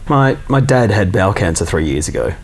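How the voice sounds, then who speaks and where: A man in casual conversation, telling a long story in a relaxed, halting way with many fillers and restarts. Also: fairly low pitch. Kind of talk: casual chat.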